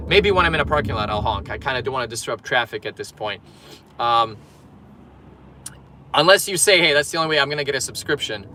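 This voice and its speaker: A man's voice: medium pitch, animated, fast talker, long pauses, bright, forceful tone, a little hiss or hum. A man from Poland, a fitness coach, chatting casually on a livestream while driving and reading viewer comments aloud. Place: in a car in traffic.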